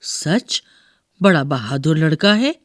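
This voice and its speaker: A woman's voice: deep voice, lively intonation, no room sound, studio quality, heavily acted. A woman voicing a rambling, doting old grandmother who keeps correcting herself in a storybook reading. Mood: soothing. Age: older. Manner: slow, rambling, self-correcting.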